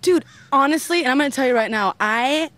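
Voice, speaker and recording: A woman's voice: high voice, animated, a little fast, a bit bright. Blunt, emphatic, slangy woman. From Argentina. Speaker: interviewee. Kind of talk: casual slangy interview answers.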